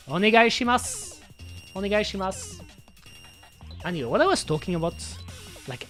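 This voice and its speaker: A VTuber host chatting live with the audience, voice swooping up and down with lively pitch. The talk rambles and loops back, full of "uh" and "like", over faint music playing underneath. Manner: rambling, loses thread, fillers like "uh" and "like". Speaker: VTuber streamer. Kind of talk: casual live-stream chat with viewers.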